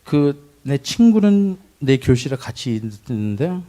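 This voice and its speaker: A middle-aged man giving a personal testimony, recalling his childhood in a reflective way with pauses and restarts. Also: fairly high pitch, big pitch swings, warm tone. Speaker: testimony speaker.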